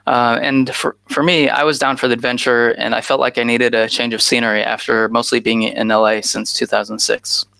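A man's voice: mid-range voice, flat delivery, brisk, gravelly, hardly any pauses, pushed and bright, smooth. A man in his thirties from the United States gives a conference talk over a video call on a headset, with frequent "uh" fillers. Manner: frequent "uh" fillers, earnest and informal. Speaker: guest speaker.

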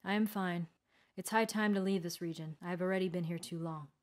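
A woman's voice: lowish voice, fairly even intonation, a little fast, lightly acted. A woman voicing a righteous old male wanderer and mage, solemn and commanding, in a fantasy story. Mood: weary, firm.

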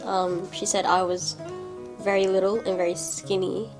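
A woman's voice: medium pitch, little pitch movement, normal speed, over music. A woman in her twenties, speaking hesitantly in a documentary interview with many fillers. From Philippines. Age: 20s.

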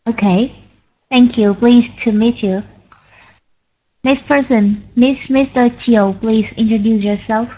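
A woman teaching an online English class, correcting students patiently and clearly. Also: a little high, steady tone, slow, warm tone. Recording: lo-fi online call audio.

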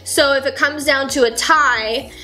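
A young woman in her twenties casually explaining board game rules at home, chatty with lots of fillers, her voice a little hoarse. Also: sits high, fairly even intonation, unhurried, sharp tone, faint music behind, poor audio. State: slightly hoarse. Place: home kitchen, indoors. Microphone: fixed camera at table.

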